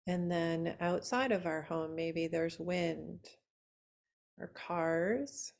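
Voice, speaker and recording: Slow-speaking woman guiding a relaxation meditation. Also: on the low side, vocal fry, darkish tone. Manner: soothing, unhurried, with long pauses between short phrases. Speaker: yoga nidra meditation teacher.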